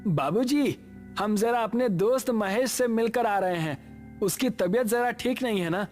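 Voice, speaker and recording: A man's voice: high-pitched, a little slow, darkish tone, faint music behind. A man playing a brash young villager in a horror cartoon, with rustic phrasing. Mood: casual, brash.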